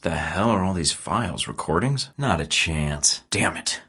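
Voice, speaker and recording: A man's voice: low-pitched, expressive, unhurried, pushed and bright, slightly rough, dry recording, full character voice. An actor playing a cocky young male secret agent who trades dry, sarcastic quips, recorded close in a studio booth for a video game. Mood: wry. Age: young adult. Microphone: close studio mic.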